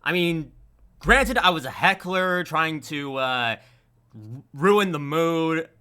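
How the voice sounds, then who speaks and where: A man's voice: fairly high pitch, lively intonation, takes it slowly, bright, forceful tone, clear, resonant tone. A man chatting casually as a panel guest, loose and informal, with hesitant phrasing. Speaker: panelist, amateur voice actor. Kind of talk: casual chat.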